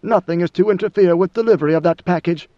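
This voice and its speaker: Imperious ancient ruler read by a man, high, commanding, measured, muffled dry audio. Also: dry recording, natural voice. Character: ancient imperious male god-emperor, coldly commanding.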